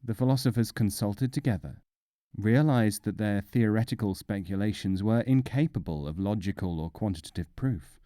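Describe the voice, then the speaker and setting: A man narrating folk tales as an audiobook reader, in a measured, expressive storytelling manner. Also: fairly low pitch, no room sound, studio quality.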